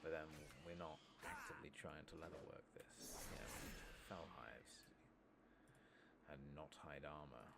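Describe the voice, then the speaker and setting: A man giving soft-spoken ASMR game commentary in a hushed voice, musing unhurriedly in short trailing phrases, close to the microphone. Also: rumbling low, normal speed. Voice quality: soft, hushed, breathy at times. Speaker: streamer playing an online fantasy game. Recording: steady clear background noise.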